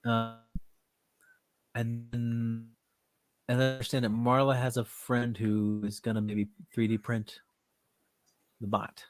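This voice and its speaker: A man's voice: mid-range voice, dry recording. Stuffed-up man teaching on a call. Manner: relaxed, loose and halting with fillers. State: stuffed up with a cold. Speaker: class teacher. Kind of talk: casual online group class.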